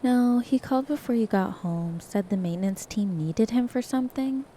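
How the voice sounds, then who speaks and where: An actress playing a lively, teasing woman in her thirties, in a naturalistic audio drama. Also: fairly high pitch, moderate pace, slight background noise, natural voice. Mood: breezy. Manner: playful, teasing, animated. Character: lively, teasing woman housemate, a live wire.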